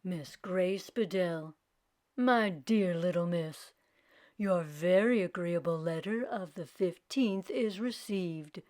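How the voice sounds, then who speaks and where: A woman voicing a grave, courteous middle-aged statesman from a storybook, in a measured, formal and deliberate way. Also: fairly low pitch, darkish tone, voice pushed down.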